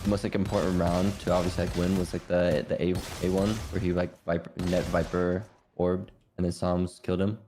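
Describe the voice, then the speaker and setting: A man's voice: sits low, little pitch movement, a little fast, few pauses, over music. A man on voice chat with friends who rambles through game talk with lots of fillers and swearing. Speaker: teammate on voice chat. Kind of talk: casual gaming chat. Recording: voice chat over loud game audio.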